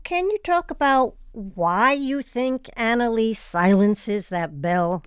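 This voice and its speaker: A woman's voice: fairly high pitch, expressive, slow, clear, resonant tone, no echo. A woman hosting a theatre podcast, interviewing guests in a studio discussion. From Transylvania family background.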